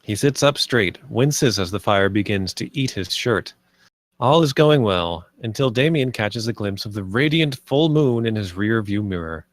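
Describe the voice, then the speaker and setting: A man's voice: fairly low pitch, lively intonation, moderate pace, no room sound. A man reading stage directions as narrator at a screenplay table read.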